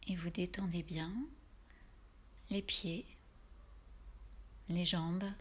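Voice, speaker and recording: A woman leading a guided yoga relaxation in a soft, breathy voice, giving soothing, unhurried instructions with pauses. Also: lowish voice, flat delivery.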